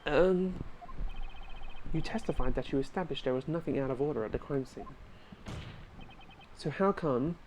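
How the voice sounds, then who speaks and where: A woman's voice: low-pitched, normal speed, pauses often, a little mellow, slight background noise, natural voice. A woman voicing an earnest young male defense lawyer who presses a witness with questions. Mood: probing. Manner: probing, questioning.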